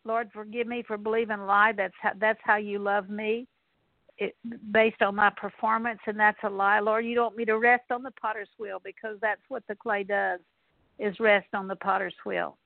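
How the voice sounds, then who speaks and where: A woman's voice: mid-range voice, flat delivery, moderate pace, gravelly, dry recording. A woman leading a spoken prayer of repentance, earnestly repeating one confession after another. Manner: earnest, repetitive litany of confessions. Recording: tinny phone-like line, dry.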